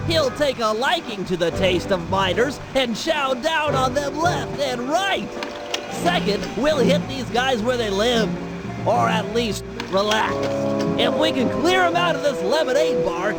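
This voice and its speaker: Nerdy turtle planner, very high voice, precise and eager, video game cartoon, music behind. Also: male voice, lively intonation, a little slow, heavily acted. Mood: brisk briefing. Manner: precise, verbose, eager briefing. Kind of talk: animated video game acting.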